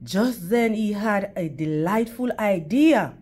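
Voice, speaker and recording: A woman's voice: mid-range voice, lively intonation, takes it slowly, darkish tone, slightly rough. A woman reading a children's story aloud in a teacherly way, addressing young listeners directly. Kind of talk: animated storytime narration with questions to children.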